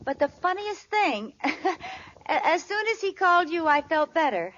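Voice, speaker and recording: An actress playing a scheming but gullible wife in a 1940s radio comedy, theatrical and wide-eyed. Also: female voice, very high voice, big pitch swings, measured pace, muffled recording, heavily acted. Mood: feigned, innocent. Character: scheming wife feigning illness, growing gullible and alarmed. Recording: noisy old radio recording, loud studio audience.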